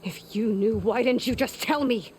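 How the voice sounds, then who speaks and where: An actress playing a determined, sardonic young woman warrior in a video game, with a dry, muttering, breathy delivery. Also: on the high side, moderate pace, a crowd in the background, natural voice. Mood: grim, determined. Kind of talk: video game cutscene acting.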